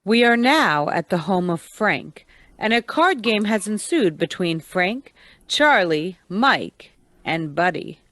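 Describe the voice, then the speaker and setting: An actress playing the measured host who narrates a scripted radio drama. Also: female voice, medium pitch, sing-song, a bit bright, dry recording.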